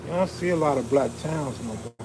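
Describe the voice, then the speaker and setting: A man's voice: on the high side, a little fast, noisy background. A man hosting a casual live stream, chatting in a laid-back, slangy, streetwise way with a soothing, soulful voice and reading comments aloud.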